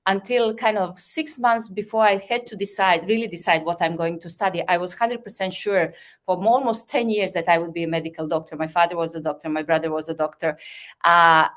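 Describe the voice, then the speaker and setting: A woman from Croatia who heads a school, introducing herself on a video call and telling her story warmly. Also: on the low side, steady tone, a little fast. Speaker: head of school. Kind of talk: panel self-introduction.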